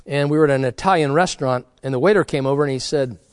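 A man's voice: medium pitch, fast talker, smooth, no echo, studio quality. A middle-aged man preaching a sermon, earnest and exhorting, often restarting his words as he talks. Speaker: pastor.

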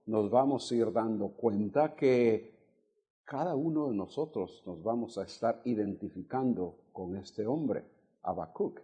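An older man from Honduras with a faded Honduran accent, a guest preacher teaching a Bible study to a congregation, measured, stretching key words and putting questions to the listeners. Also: medium pitch, warm tone. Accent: Honduran Spanish, faded. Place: church sanctuary.

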